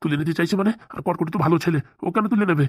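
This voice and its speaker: A woman's voice: on the low side, racing, dry recording, clean studio sound, lightly acted. A woman voicing a naive, easily alarmed older male professor in a cartoon. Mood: confused. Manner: confused, trusting. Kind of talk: cartoon voice acting.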